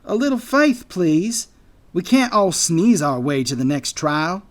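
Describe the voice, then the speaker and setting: A man's voice: fairly high pitch, big pitch swings, unhurried. A man voicing a cocky, stubborn male squirrel in a children's fantasy audiobook, brash and testy. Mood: irritated.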